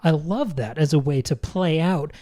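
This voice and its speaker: A woman's voice: low voice, moderate pace, dark tone. A woman co-hosting a podcast, talking through film analysis in an articulate, emphatic way with literary phrasing. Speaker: podcast co-host.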